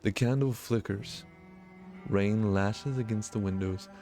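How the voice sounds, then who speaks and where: A man with a fairly low voice plays through a visual novel. He reads narration aloud and comments bluntly and informally, with music playing behind him. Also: takes it slowly, warm tone. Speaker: gaming video host. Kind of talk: visual novel let's play, narration read aloud with casual asides.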